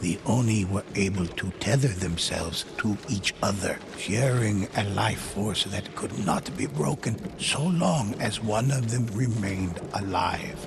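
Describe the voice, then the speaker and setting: A man voicing a grave storyteller, narrating ghost legends for a game in a slow, gravelly voice. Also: expressive, background noise. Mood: solemn. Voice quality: deep, gravelly. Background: music.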